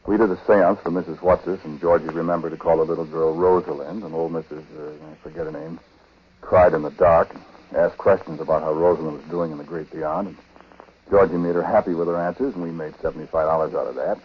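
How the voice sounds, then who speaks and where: A muffled vintage radio recording with faint noise carries the low voice of an actor playing a haunted husband. He tells his dark story haltingly and conversationally, brooding and uneasy, in the intimate manner of 1940s radio drama. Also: fairly even intonation, close to an everyday voice. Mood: reflective, subdued. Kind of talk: 1940s radio drama acting and first-person narration.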